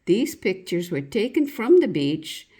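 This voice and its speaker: A woman reading a written local-history reminiscence aloud in a deliberate, storytelling way, occasionally stumbling over a word. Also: mid-range voice, big pitch swings, dark tone, slightly rough. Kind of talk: read-aloud narration of a reminiscence.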